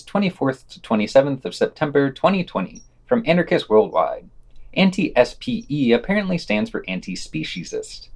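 A man hosting a podcast, reading scripted news summaries and quoted passages aloud. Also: mid-range voice. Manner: reads quotations aloud, announcing quote and unquote.

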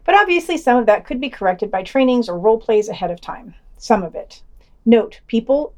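A woman's voice: on the high side, animated, fairly quick, a little mellow. A woman reading a scripted news digest and quotes for a podcast, with dry, slightly pedantic wry commentary.

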